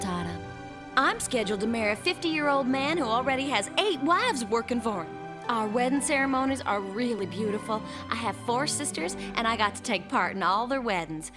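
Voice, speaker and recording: Brash high-voiced androgynous alien youth played by a woman. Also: close to an everyday voice. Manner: brash, defiant. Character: fiery young androgynous alien who insists on being male. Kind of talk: English anime dub acting. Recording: music behind the dialogue.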